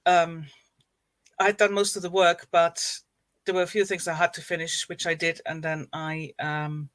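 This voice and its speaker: A woman from Germany, a life coach, guesting on a casual live chat, cheerful and quick to laugh. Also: medium pitch, no room sound.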